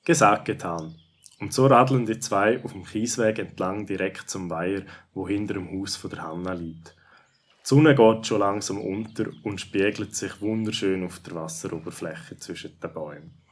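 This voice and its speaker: A man with a distinctly low voice and a Swiss accent. He narrates a children's story as its scripted storyteller. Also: moderate pace.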